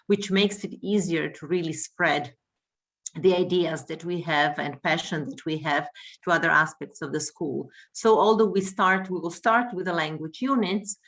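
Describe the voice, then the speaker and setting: Soft-spoken woman presenting to teachers. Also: sits low. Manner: hesitant, many fillers and restarts while explaining. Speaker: world languages teacher and home language coordinator. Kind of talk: workshop presentation.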